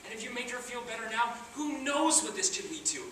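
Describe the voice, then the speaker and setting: A man's voice: very high-pitched, expressive, a little fast, bright, forceful tone, full character voice. A young man acting a cheeky, sarcastic slacker in an amateur stage comedy, talking casually and teasingly. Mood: coaxing. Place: theater stage, indoors. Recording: echoey theater, distant mic, clearly noisy.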